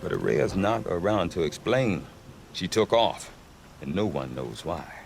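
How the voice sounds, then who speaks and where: A man's voice: low voice, animated, measured pace, vocal fry, a little hiss or hum, heavily acted. A middle-aged man playing a wry, grumbling, talkative frontier trader in a video game. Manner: wry, talkative, hesitant when choosing words. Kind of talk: video game dialogue acting.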